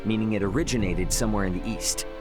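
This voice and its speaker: A man's voice: lowish voice, quick pace, natural voice. An actor voicing a blunt, practical young man in a video game, speaking casually over music. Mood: concerned, reasoning.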